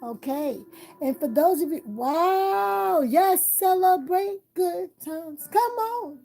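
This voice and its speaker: A woman with a high voice hosts a live tarot reading and gives earnest, encouraging advice. She repeats her points and keeps checking in with her listeners. Faint music plays behind her. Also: expressive, takes it slowly, vocal fry, warm tone. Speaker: tarot reader hosting a live stream.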